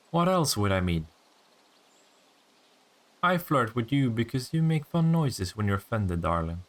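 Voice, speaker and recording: Gravelly, teasing male vampire, acted by a man. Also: on the low side, fairly bright tone. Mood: sardonic banter. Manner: teasing, sardonic, swearing banter. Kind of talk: scripted roleplay audio drama.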